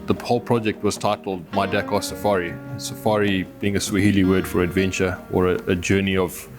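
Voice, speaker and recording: Low, even-toned Zimbabwean man over music. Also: a little fast. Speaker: adventurer and overlander, interviewee. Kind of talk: reflective documentary interview.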